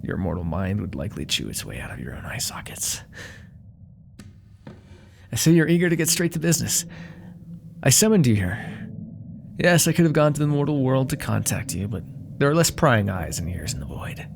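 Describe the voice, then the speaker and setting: A man's voice: mid-range voice, very animated, normal speed, creaky, long pauses, background noise. A man playing a proud, menacing demon dealmaker in an ASMR roleplay, theatrical and condescending, coaxing with whispered asides. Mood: smug, businesslike.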